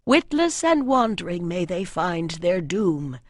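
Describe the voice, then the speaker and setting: A woman's voice: fairly low pitch, sing-song, a little slow, dry recording, clean studio sound. A woman voicing a solemn, devout priestess in a fantasy audiobook, speaking in a formal, incantatory way. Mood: fierce prayer.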